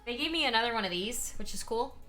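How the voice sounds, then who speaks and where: A hoarse woman streaming herself painting miniatures, chatting casually with many ums and reading chat aloud. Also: a little high, lively intonation, fast, bright, forceful tone. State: hoarse, voice partly lost.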